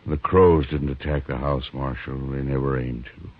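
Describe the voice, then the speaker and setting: An actor playing a gaunt, grieving homesteader who speaks quietly and flatly, resigned to his fate. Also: extremely low pitch, moderate pace, no echo. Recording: tinny dry old radio recording.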